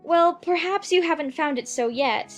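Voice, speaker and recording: A woman's voice: extremely high pitch, moderate pace, soft background music. A woman playing an earnest, puzzled little girl in a dramatized audiobook, with a polite, hesitant, musing manner. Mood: earnest, irritated. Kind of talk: dramatized audiobook character acting.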